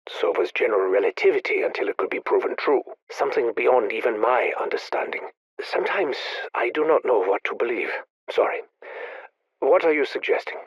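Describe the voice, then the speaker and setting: Husky brooding scientist. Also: male voice, medium pitch, animated, dry recording, highs cut off. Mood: secretive musing. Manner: formal, measured, muttering, haughty. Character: brooding, secretive, obsessive scientist recording private research logs. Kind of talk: video game audio log.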